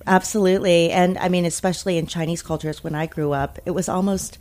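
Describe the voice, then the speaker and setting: A woman born in Taiwan and raised in America, talking casually as an interview guest, thoughtful with many pauses and restarts. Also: lowish voice, fairly even intonation, darkish tone. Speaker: interview guest, food media founder.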